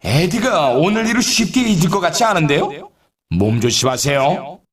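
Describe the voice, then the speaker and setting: Excitable sports-game announcer, high and swooping, teasing and dramatic, echoey sound. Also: male voice, normal speed, far from the mic. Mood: teasing warning. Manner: dramatic, teasing, mock-warning. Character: excitable, teasing male snowboard race announcer. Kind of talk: scripted game commentary.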